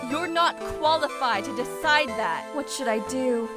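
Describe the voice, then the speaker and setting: A woman's voice: high-pitched, measured pace. A woman playing a feisty, exasperated young defense attorney, wry and urgent, in a dramatic fan dub. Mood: feisty, pressing. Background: music.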